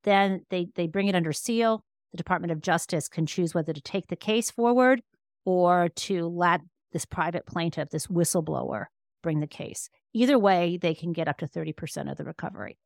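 A woman with a fairly low voice, recorded dry and close to the room-free side. She explains things as an expert guest in a podcast interview. Her delivery is conversational and emphatic, and she rephrases as she goes. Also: normal speed, gravelly, dry recording. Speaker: interview guest, law professor and author.

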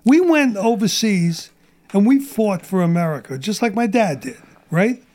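A man's voice: high-pitched, lively intonation, measured pace, a little mellow. A middle-aged man ranting bluntly and profanely in a casual podcast chat, losing his voice. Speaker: guest.

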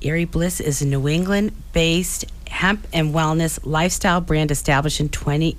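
A woman hosting a talk show, introducing and interviewing a guest in a conversational style. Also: sits low. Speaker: radio talk show host.

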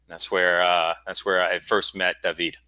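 Man, forties, from Florida, very low voice, rambling guest storyteller. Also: flat delivery, moderate pace, bright, forceful tone, dry recording. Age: 40s. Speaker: guest, school founder. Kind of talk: casual interview.

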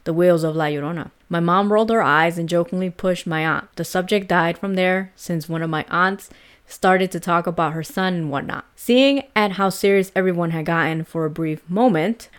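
A young woman from El Salvador tells a ghost story in a relaxed, chatty way and laughs often. Her voice sits a little low for a woman. She hosts a casual podcast and reads long passages aloud. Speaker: podcast host.

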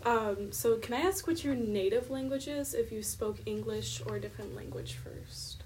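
A woman's voice: fairly high pitch, normal speed, darkish tone. A young woman co-hosting a podcast, asking guests questions in a casual way. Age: young adult. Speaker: podcast co-host, interviewer. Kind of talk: casual interview. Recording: slightly distant, faint noise.

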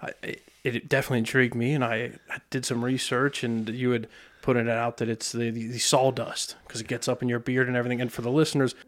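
A man's voice: medium pitch, flat delivery, fast. A man with an American accent hosting a casual interview podcast in a studio. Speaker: podcast host.

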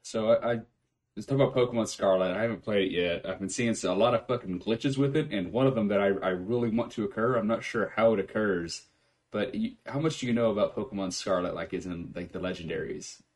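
A man's voice: fairly low pitch, a little fast, a little mellow. A man hosting a casual podcast from home, swearing freely and laughing often. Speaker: podcast host. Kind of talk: casual chat.

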